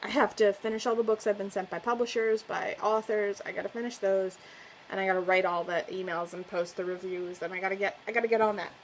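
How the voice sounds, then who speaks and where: A woman's voice: medium pitch, fairly even intonation, fast, a little hiss or hum, poor audio. A woman book vlogger chatting casually to her viewers, gushing and effusive and laughing often. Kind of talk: casual chat to camera.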